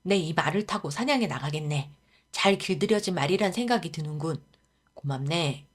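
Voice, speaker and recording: A woman voicing a young prince who speaks calmly and graciously in a storybook reading. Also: deep voice, normal speed, slightly rough. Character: young male prince, calm and kindly commanding.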